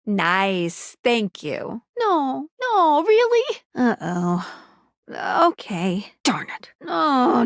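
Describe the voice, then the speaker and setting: A perky video game guide, a living pair of magic glasses with a high, girlish pitch. The delivery is quirky and earnest, swinging widely in melody, and recorded close and dry. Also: no echo, exaggerated voice. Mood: flustered. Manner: quirky, expressive, chatty, with cute interjections.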